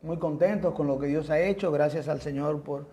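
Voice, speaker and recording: A man's voice: fairly high pitch, moderate pace, warm tone, dull audio. A man, a pastor with a Dominican accent, preaching a sermon in an emphatic, repetitive cadence. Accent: Dominican Spanish. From Dominican Republic.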